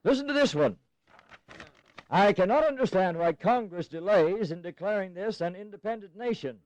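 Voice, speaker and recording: An actor playing an eager colonial politician in a historical audio drama, speaking formally, emphatically and persuasively. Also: male voice, sits high, expressive, moderate pace, dry recording, rough recording. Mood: eager, insistent. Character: eager, insistent colonial congressman pressing for independence.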